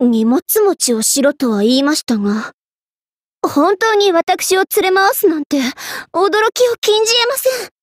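Young queen, very high girlish pitch, imperious, vain, easily flustered tsundere, anime game, dry close sound. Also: expressive, a little slow, no room sound. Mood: haughty. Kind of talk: Japanese anime game character voice acting.

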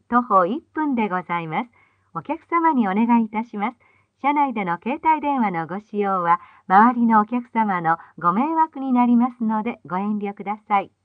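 A woman's voice: fairly high pitch, no room sound. A woman reading scripted bus stop announcements in a polite, formal, carefully enunciated way, played back from old tape. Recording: dull phone-like old tape playback.

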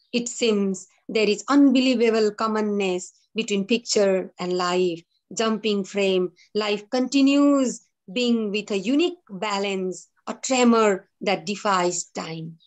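Young woman reading her poem slow and careful on a zoom call, mid pitch. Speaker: poet. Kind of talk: poetry recitation. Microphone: video call.

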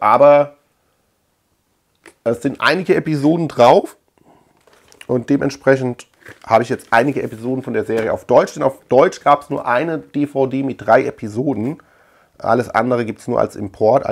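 A man's voice: mid-range voice, gravelly. A man in his thirties talking casually in a chatty, digressive way, thinking aloud as he hosts a collector vlog. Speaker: host of a collector video channel. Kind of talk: casual rambling vlog talk. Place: small indoor room lined with shelves.

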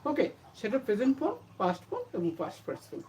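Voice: medium pitch, wildly swooping pitch, quick pace, a little mellow, rough recording. A teacher explaining a lesson to students, posing questions and then answering them, with English terms mixed in. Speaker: English grammar teacher for an online channel.

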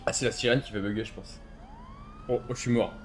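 A man's voice: medium pitch, quick pace, background noise. A man giving casual, slangy gameplay commentary in a grumbling way, like a streamer. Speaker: gaming video maker, streamer.

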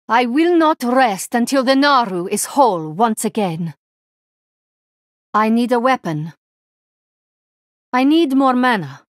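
A woman voicing a solemn, devout alien priestess of the light for a video game, formal and measured in her phrasing. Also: a little high, no room sound, studio quality. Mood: solemn resolve. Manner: formal, measured, deliberate phrasing without contractions. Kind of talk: video game character voice lines.

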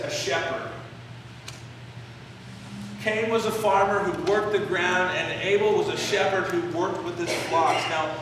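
A man in his forties preaches to his congregation, walking through a passage and pausing to stress key phrases. His voice sits high for a man. It comes from far off in a very echoey sanctuary with a long reverb tail. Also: noisy background. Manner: explanatory, gestures while preaching, pauses on key phrases. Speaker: pastor. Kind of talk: sermon. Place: church sanctuary, indoors, medium-sized. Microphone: far camera in the pews.

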